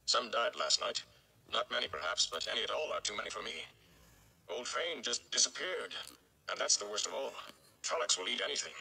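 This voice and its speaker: Man playing a kindly old gleeman, gravelly and emphatic. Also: fairly low pitch, expressive, a little fast, sharp tone, exaggerated voice, rough recording. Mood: reassuring, somber. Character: kindly, chatty older male gleeman consoling a youth.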